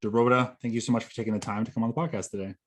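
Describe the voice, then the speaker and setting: A man's voice: fairly low pitch, steady tone, racing. A man hosting a podcast who welcomes his guest and asks friendly, loosely phrased questions. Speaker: podcast host. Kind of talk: podcast interview.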